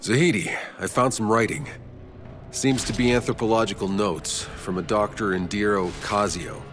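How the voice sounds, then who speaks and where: An actor playing a gruff soldier exploring an alien world in a video game cutscene. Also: male voice, fairly low pitch, steady tone, moderate pace, a bit bright, lightly acted. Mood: grave, intent. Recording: dramatic music behind the voice.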